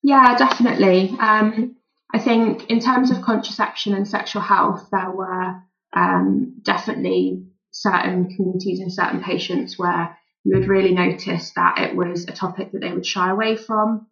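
A woman doctor in a relaxed interview, answering thoughtfully with frequent "um" fillers. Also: medium pitch, normal speed, gravelly, dull audio. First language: English. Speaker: volunteer doctor, guest.

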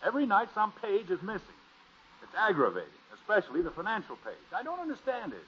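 A man's voice: sits high, huge pitch swings, quick pace, bright, forceful tone, slightly rough, heavily acted. An American man playing an exasperated, grumbling middle-aged father in a 1950s radio sitcom. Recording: muffled old radio recording.

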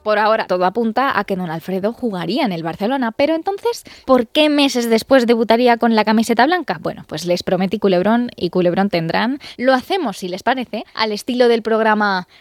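A woman's voice: on the high side, brisk, dry recording, faint music behind. A woman narrating a scripted comic audio story in a theatrical manner, like a mock TV judge and host.

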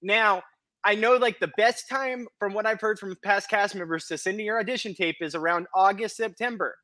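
A man in his thirties co-hosting a podcast, encouraging and upbeat, and quick to laugh. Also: high voice, pushed and bright, dry recording. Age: 30s. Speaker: podcast co-host. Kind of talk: casual chat.

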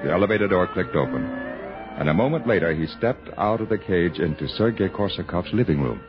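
An actor playing a wry storyteller, narrating a radio thriller in an unhurried manner. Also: male voice, low-pitched, animated. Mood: wry, suspenseful. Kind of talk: 1960s radio drama scripted narration. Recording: muffled old radio broadcast, musical backing. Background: music.

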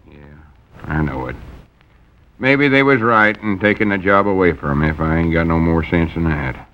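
An actor playing a fussy, bashful old storekeeper, talking in a drawling, folksy way that gets easily flustered, in a vintage radio comedy. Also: male voice, low voice, lively intonation, normal speed. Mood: grumbling. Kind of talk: 1930s-50s radio comedy acting. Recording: dull old radio broadcast recording.

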